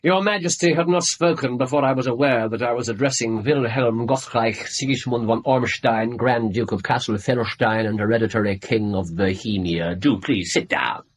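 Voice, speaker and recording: An English actor playing a brisk, aloof Victorian detective in a radio drama, speaking precisely and with self-assurance. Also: male voice, medium pitch, lightly acted. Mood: coolly commanding.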